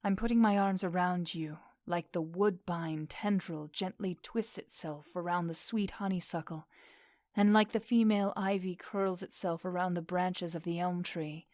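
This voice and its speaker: A woman's voice: fairly low pitch, steady tone, normal speed, darkish tone, dry recording, clean studio sound, lightly acted. An actress playing a tender yet commanding fairy queen in an audio drama of modernized Shakespeare. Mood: adoring.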